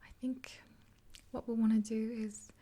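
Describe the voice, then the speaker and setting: A young woman speaks in a breathy, mellow whisper right up at the microphone. Her delivery stays even and unhurried, with small hesitations, like a soothing one-sided ASMR roleplay with a friend. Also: a little high. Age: 20s. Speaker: ASMR video creator playing a makeup artist friend. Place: small indoor room, dark backdrop. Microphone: close to the mic, facing camera.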